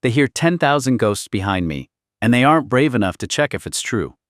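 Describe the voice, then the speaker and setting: A man's voice: mid-range voice, big pitch swings, a little fast, dry recording, clean studio sound, natural voice. Man voicing a grim, defiant rebel warrior. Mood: exultant, commanding. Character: fierce, defiant male rebel fighter in battle.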